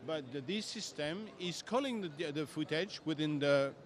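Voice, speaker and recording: Brief: man, high voice, emphatic non-native English, trade show demo. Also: expressive, moderate pace. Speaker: software demonstrator. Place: exhibition stand at trade show.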